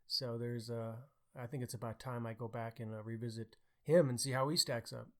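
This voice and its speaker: A man speaks at a middling pitch as one host of a podcast. His delivery is conversational, the talk of someone discussing books with a co-host. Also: steady tone, fairly quick, a bit bright.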